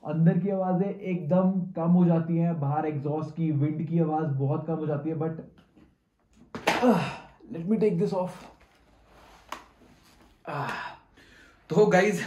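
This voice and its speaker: Echoey, higher-pitched man reviewing gear. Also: a little mellow. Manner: conversational, mixes in English words. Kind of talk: casual product review to camera. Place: living room, indoors. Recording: echoey room sound.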